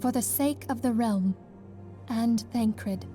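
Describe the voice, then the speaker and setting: A woman's voice: fairly high pitch, slow, warm tone, faint music behind. A woman voicing a solemn, gracious leader of a heroic order in formal, earnest fantasy game dialogue. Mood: earnest, hopeful.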